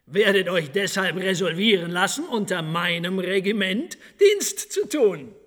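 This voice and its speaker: A slow, high-pitched, wily colonel. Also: male voice, big pitch swings, a bit bright, heavily acted. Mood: firm, formal. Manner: deliberate, hesitant pauses with hums, threatening politeness. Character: commanding, wily male colonel and fortress commandant. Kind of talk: period radio drama acting. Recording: long reverberant room.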